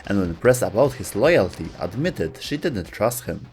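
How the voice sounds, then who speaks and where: Male lore narrator: lowish, lively voice, scripted storytelling with a wry touch, faint music bed. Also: normal speed. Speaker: video host and narrator.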